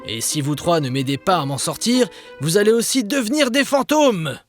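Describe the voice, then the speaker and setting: A man's voice: high voice, animated, normal speed, faint music behind. A man voicing an adventurous, commanding ghost sea captain in a children's cartoon, with a hearty, theatrical delivery. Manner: hearty, theatrical, barks nautical orders.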